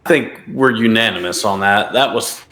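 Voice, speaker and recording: A man's voice: lowish voice, moderate pace, pushed and bright. A man co-hosting a casual podcast, giving emphatic, opinionated takes. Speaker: podcast co-host. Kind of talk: casual wrestling podcast chat. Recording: roomy echo.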